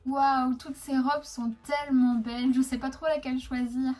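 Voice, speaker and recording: A high-voiced middle-aged flight attendant who hesitates and fills her sentences with little pauses, played by a woman. Everyday, chatty toy-figure cartoon delivery. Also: level tone, darkish tone, close to an everyday voice. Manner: hesitant, many filler pauses.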